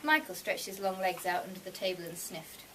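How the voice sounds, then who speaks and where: Female author for a live story reading, expressive, mid-pitched, picked up from a distance. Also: brisk. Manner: expressive storytelling, switching between narration and dialogue. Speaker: author reading own fiction. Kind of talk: live fiction reading with acted dialogue. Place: live fiction night, recorded from a distance. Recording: distant mic, noisy room ambience.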